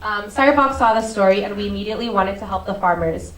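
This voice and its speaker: A young woman from Singapore giving a relaxed, friendly stage talk to an audience as a business development head, with plenty of ums and uhs. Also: mid-range voice, fast, slight background noise. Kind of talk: presentation talk to a live audience. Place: event stage before an audience. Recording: roomy venue sound, distant mic, faint ambience.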